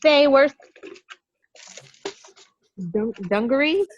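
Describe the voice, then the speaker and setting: A woman narrates stage directions at a table read. Her voice is a bit high. The recording is dull and lo-fi, over a video call. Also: extremely slow, a little hiss or hum.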